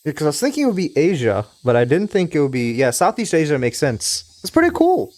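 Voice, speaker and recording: A man's voice: fairly high pitch, wildly swooping pitch, a little fast, darkish tone. A young man from Trinidad and Tobago chatting with his stream viewers in a rambling way, full of restarts, now and then reading a game's text aloud. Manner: rambling, frequent restarts and hedging fillers. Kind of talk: casual live-stream chat with viewers, reading a visual novel aloud.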